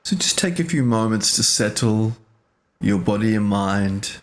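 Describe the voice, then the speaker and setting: A man leading a guided meditation as a teacher, speaking gently and unhurriedly in short phrases with pauses. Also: on the low side, animated.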